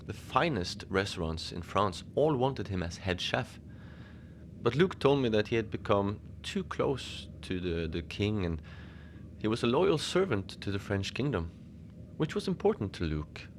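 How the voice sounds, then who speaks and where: Casting: male actor as unbothered lone polar station keeper, deep-voiced with gravelly fry, deadpan musings for a fiction podcast radio log. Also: unhurried, a little hiss or hum. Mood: musing storytelling. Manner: musing, conversational, deadpan about absurd things. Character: easygoing, whimsical lone Antarctic station manager, rambling unbothered. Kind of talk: first-person audio fiction monologue, rambling radio log.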